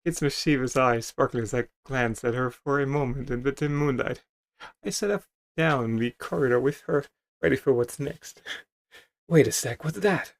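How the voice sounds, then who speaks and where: A man's voice: medium pitch, normal speed. A man playing a video game, reading its text aloud dramatically with stumbles and casual commentary. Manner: theatrical, stumbles over written text. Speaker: video game player and streamer.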